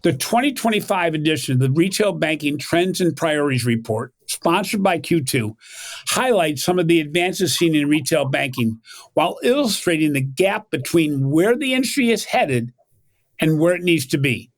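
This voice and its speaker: Man, podcast host, animated delivery, gravelly, slightly high voice, faint music behind. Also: moderate pace. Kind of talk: scripted podcast intro and interview questions.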